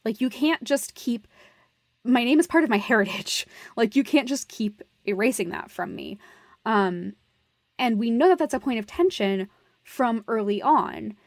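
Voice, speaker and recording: A woman's voice: on the high side, lively intonation, unhurried, dry recording, clean studio sound. A woman co-hosting a podcast, talking in a casual, analytical way. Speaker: podcast co-host.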